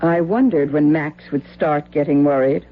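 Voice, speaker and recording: A woman's voice: low-pitched, normal speed, natural voice. Female actor as loyal fearful wife, earnest pleading delivery, old radio play, lo-fi recording with hum. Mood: worried, proud. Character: anxious, loyal wife and mother, fearful yet proud. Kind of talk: vintage radio drama acting.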